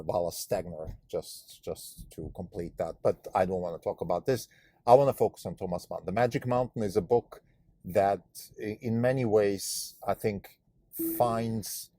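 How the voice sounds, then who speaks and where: A man's voice: deep voice, mellow, no echo, studio quality. A Swiss-born man whose first language is German, hosting a solo podcast and talking thoughtfully with frequent fillers and restarts. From Switzerland. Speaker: podcast host and fund manager.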